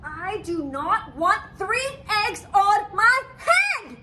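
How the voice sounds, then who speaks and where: A woman voicing an anxious, excitable elephant in an animated children's picture book read-aloud, exclaiming dramatically. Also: extremely high pitch, slow, noisy background, full character voice. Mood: exasperated. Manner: dramatic, exclaiming, swinging from fretful to outraged.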